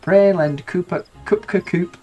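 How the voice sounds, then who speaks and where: A man's voice: high voice, measured pace, darkish tone, soft background music, voice pushed up. A man with a nasal cold voicing a grand, romantic noblewoman in a game, reading her lines in flowery, theatrical speech. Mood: grandiose.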